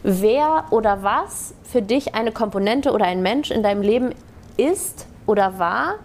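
A woman from Hamburg, running a casual quick-fire interview and affirming answers often. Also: medium pitch, expressive, a little mellow. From Hamburg, Germany. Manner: upbeat, affirming with frequent "mhm" and "sehr gut".

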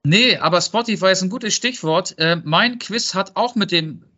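A man hosts a football quiz in a casual podcast conversation. His voice sits a little high and has a sharp, bright edge. The sound is slightly muffled and lo-fi. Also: normal speed. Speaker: podcast co-host and quizmaster.

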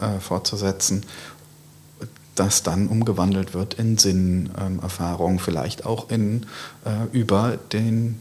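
A man speaking haltingly and deliberately in a reflective intellectual discussion, often pausing mid-phrase to find his wording. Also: low voice, a little mellow, slight background noise.

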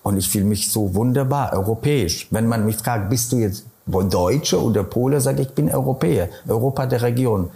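A middle-aged man from Silesia with a Polish accent, answering interview questions thoughtfully and pausing often to find his words. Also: medium pitch, gravelly, warm tone. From Poland, Silesia. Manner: thoughtful, searching for words, many hesitations.

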